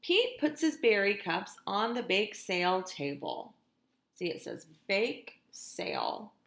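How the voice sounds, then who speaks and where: A middle-aged woman, a teacher, reading a picture book aloud to young children in an expressive, deliberate way, speaking to them directly. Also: mid-range voice, vocal fry, reverberant. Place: indoors in a room at home.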